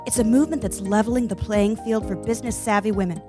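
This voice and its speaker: A woman's voice: medium pitch, fairly even intonation, quick pace, over music, close to an everyday voice. A woman playing a slick, smug startup chief with polished sales patter in a comic radio play. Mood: salesy. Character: slick, smug female startup CEO pitching her club.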